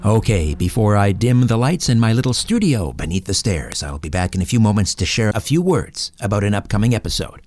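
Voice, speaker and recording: A man's voice: on the low side, sing-song, fairly quick, few pauses, darkish tone, slight background noise. A man hosting an interview show, putting questions to guests and addressing listeners as presenter. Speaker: podcast host, interviewer.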